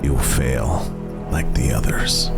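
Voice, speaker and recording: A man voicing a menacing, taunting voice of doubt in a tabletop game, slow and ominous. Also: very deep voice, vocal fry, voice pushed down, heavily acted. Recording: loud music behind the voice.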